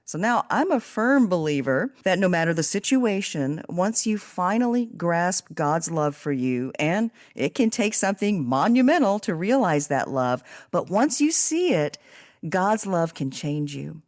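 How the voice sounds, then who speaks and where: Woman, slightly low voice, podcast host, earnest, reflective, devotional, scripted delivery. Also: very animated, a little slow, a little mellow.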